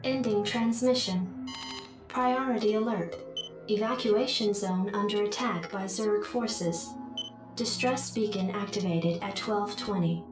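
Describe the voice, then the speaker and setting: Actress as detached military computer, formal clipped announcements, echoing, slightly distant, music behind, video game. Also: female voice, medium pitch, unhurried, natural voice. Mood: impassive, official. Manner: clipped, impassive.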